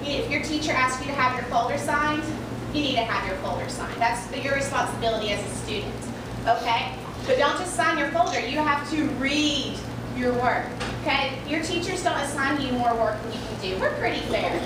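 A woman's voice: high-pitched, lively intonation, brisk. A young woman teacher speaking into a handheld mic at a school assembly, explaining a reading program and asking the children questions in an upbeat way. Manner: upbeat, addresses kids with questions. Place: large school gym in front of students. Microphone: handheld mic, recorded by far camera. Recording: echoey gym, from far off, noisy.

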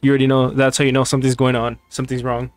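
A man's voice: medium pitch, monotone, very fast, no room sound. A young man giving casual, irreverent, slangy commentary as he streams a video game. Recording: game music in background. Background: game music.

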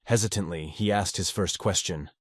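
Brief: male podfic narrator, low voice, steady measured read, dry close sound. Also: slightly rough, dry recording. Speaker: audiobook narrator. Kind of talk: fanfiction audiobook narration.

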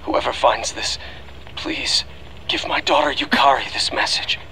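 A middle-aged actor playing a remorseful scientist father in an anime game, pleading gravely and deliberately. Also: medium pitch, pushed and bright, slight background noise, rough recording. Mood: tender, resigned. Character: desperate, remorseful scientist father recording a last message.

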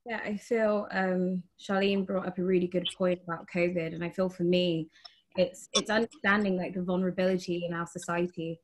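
A woman's voice: fairly low pitch, fairly even intonation, moderate pace, rough recording. A young woman speaking earnestly as a panelist on a video call from her bedroom, with frequent likes. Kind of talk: online panel discussion. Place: bedroom with posters.

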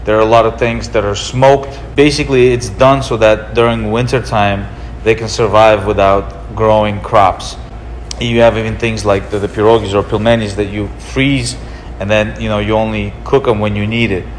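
A man in his thirties from Russia, a restaurant owner giving a relaxed, explanatory documentary interview. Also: fairly low pitch, normal speed, slight background noise. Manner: relaxed, explanatory, gestures while talking. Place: indoor room with leather couch.

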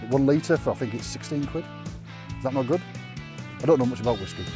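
A man's voice: mid-range voice, expressive, fast talker. A middle-aged man, bantering and swearing casually on a woodland hike, filmed on a handheld camera outdoors. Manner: joking, swears freely. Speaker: vlogger. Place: outdoors in a riverside wood. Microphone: handheld action camera. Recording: background guitar music.

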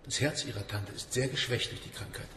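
An actor playing a stern, guarded psychiatric doctor in a radio play, clipped and authoritative. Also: male voice, mid-range voice, brisk, very noisy, natural voice. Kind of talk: naturalistic radio drama dialogue.

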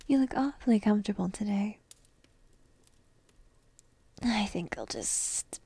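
A woman's voice: a little high, warm tone, no room sound, exaggerated voice. A woman playing a petite, entitled but devoted dragon princess in a whispered, coy and intimate fantasy ASMR roleplay. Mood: teasing. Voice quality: breathy, whispery. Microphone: close microphone, dry.

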